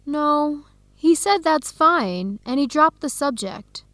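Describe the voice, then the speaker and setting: An actress playing an earnest young Ethiopian-student in a radio drama. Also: female voice, high voice, expressive, slow pace, a bit bright, no echo, full character voice. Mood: explaining, defensive. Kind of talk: radio drama acting, simulated video call.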